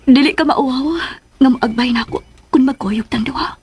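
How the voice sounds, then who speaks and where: Anxious high-voiced Filipina in love. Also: female voice, moderate pace, a little mellow, husky. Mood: tender, shy. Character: anxious widowed mother in love, cautious and tender. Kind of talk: Philippine radio drama acting, sentimental romance.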